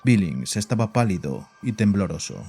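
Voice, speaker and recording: A man narrates a horror tale in a low, dark, mellow voice. It is scripted storytelling, with music faintly behind him. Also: very animated, normal speed, breathy edge. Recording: voice over background music.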